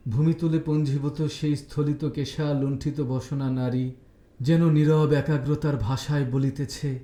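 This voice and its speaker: A man reading a literary story aloud as narrator, in a measured, dramatic storytelling manner. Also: medium pitch, dark tone, echoey room.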